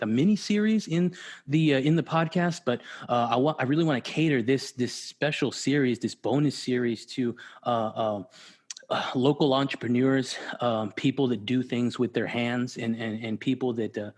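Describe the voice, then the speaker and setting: A man hosts a casual podcast chat in a mid-range voice. He rambles through his thoughts, full of "uh" and "um", and keeps agreeing with "for sure, for sure". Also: mid-range voice. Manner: rambling, many fillers and repeated "for sure". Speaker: podcast host.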